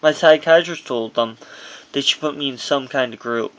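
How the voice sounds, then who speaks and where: A man's voice: medium pitch, normal speed, a bit bright, poor audio. A man reading his own memoir aloud as narration, in a personal first-person delivery with dramatic emphasis, on an amateur recording.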